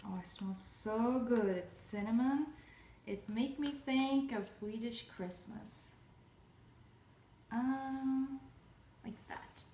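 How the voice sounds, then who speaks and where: A woman's voice: on the high side, takes it slowly, pauses often, warm tone, a little hiss or hum. A young woman from Russia demonstrates a recipe in her home kitchen, chatting casually with lots of fillers and restarts. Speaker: cooking vlogger. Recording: echoey kitchen, voice far from mic.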